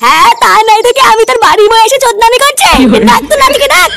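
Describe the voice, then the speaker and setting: Extremely squeaky, scolding cartoon villager. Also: lively intonation, very fast, creaky, sharp tone, a little hiss or hum, exaggerated voice. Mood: angry scolding. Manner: scolding, crude, slangy. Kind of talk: exaggerated cartoon comedy dialogue. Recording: music under the voice.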